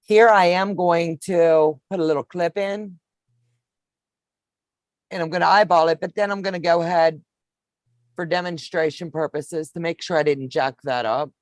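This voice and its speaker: A woman in her fifties hosting a sewing livestream, chatting warmly with viewers and explaining each step as she works. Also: lowish voice, steady tone, moderate pace, pauses often, no room sound. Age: 50s. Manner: homey, calls viewers baby, narrates each step. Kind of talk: casual sewing demonstration chat.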